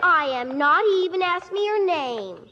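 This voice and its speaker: A woman's voice: very high voice, animated, normal speed, pushed and bright, exaggerated voice. A woman playing a cheeky, blunt young daughter in a mid-century radio comedy, with slangy, sassy delivery. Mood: teasing. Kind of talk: 1950s radio comedy acting. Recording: dull, noisy old radio recording.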